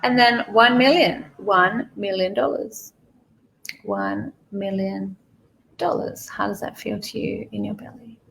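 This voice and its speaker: A woman guiding a meditation slowly and soothingly, repeating phrases with pauses, in a creaky, gravelly voice. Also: mid-range voice, expressive, fairly bright tone, a little hiss or hum, rough recording. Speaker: guided meditation leader. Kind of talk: guided money meditation, spoken softly to the listener.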